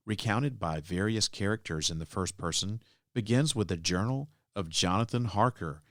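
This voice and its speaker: A man's voice: low voice, fairly bright tone, dry recording, studio quality. A man hosting a podcast, reading a scripted introduction to old-time radio drama. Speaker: podcast host.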